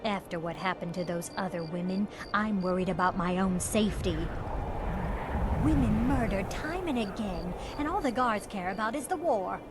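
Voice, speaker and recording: A woman's voice: medium pitch, expressive, normal speed, over loud music, close to an everyday voice. A woman playing an anxious, indignant townswoman in a fantasy game, sounding worried and aggrieved. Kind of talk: fantasy video game acting.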